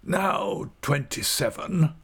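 A man's voice: a little high, slow, creaky, fairly bright tone, breathy edge, voice pushed up, heavily acted. A man reading an audiobook, voicing a pompous, self-satisfied middle-aged male prison official. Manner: pompous and approving.

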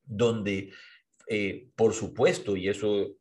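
A low-voiced man, a husky edge to his speech. He talks in an earnest, sermon-like way on a podcast, restarting phrases as he searches for words. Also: flat delivery, takes it slowly, darkish tone. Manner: earnest, preachy, repeats and restarts words while thinking. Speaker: podcast co-host. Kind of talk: reflective podcast conversation.

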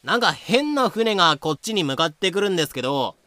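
Slack deckhand male actor, perpetually bewildered, audio drama; lightish pitch, bright tone. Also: very animated, fairly quick, dry recording, heavily acted. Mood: puzzled. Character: slack, clueless male sailor. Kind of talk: amateur audio drama acting.

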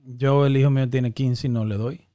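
A man's voice: medium pitch, fairly even intonation, brisk, warm tone. A man, joking and slangy in a casual chat, often cutting in with questions.

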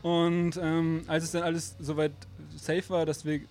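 A German man from a metal band chats loosely in an interview. His voice sits a little high, and he rambles along, full of ums, often breaking into laughter as he talks. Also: level tone, unhurried, darkish tone, soft background music. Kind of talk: casual interview chat.

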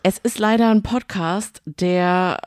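A woman's voice: mid-range voice, takes it slowly, a little mellow, no echo. A woman co-hosting a casual podcast, chatty and teasing in manner. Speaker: podcast co-host.

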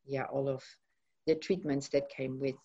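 Low-voiced Dutch woman, soft and earnest. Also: flat delivery, measured pace, slightly rough. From Netherlands. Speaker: radio guest. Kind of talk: personal testimony in a radio interview. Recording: muffled lo-fi radio sound.

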